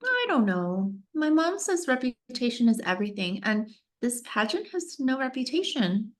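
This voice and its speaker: A woman acts out an anxious young pageant contestant for a script read. Her voice is very high and highly animated, with big swings in pitch. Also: moderate pace. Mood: casual, evasive. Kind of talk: screenplay table read acting.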